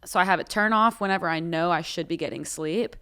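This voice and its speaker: Low-pitched chatty young woman. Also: fast talker, clean tone. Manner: chatty, animated storyteller. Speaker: podcast co-host, singer. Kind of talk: casual chat. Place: recording studio.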